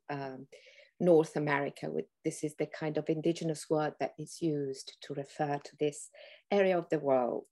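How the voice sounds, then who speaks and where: A woman with an Italian accent hosting an academic podcast, speaking thoughtfully and pausing often with ums as she searches for words. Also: low voice, a little mellow. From Northern Italy. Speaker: podcast host, anthropology professor. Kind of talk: podcast introduction.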